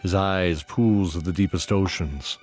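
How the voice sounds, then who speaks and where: A man narrating a scripted fantasy audio drama in a measured, descriptive storytelling manner. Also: deep voice, darkish tone. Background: music.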